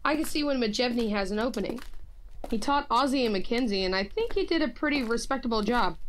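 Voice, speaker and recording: A woman's voice: fairly high pitch, expressive, quick pace, slight background noise, natural voice. An actress playing a confident, blunt warrior woman in a fantasy audio drama, dry and matter-of-fact. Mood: reassuring, wry.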